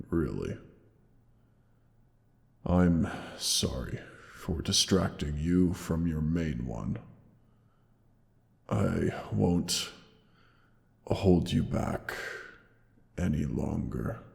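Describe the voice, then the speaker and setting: A huge old dragon near death, rumbles in an extremely deep voice inside a long, cavernous reverb. He speaks very slowly and solemnly, with weary tenderness, as in a fantasy audio drama. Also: expressive, a little mellow, heavily acted. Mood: regretful, weary. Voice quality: deep gravelly, breathy sighs. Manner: slow, solemn, halting, tender endearments. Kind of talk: dramatic roleplay audio monologue.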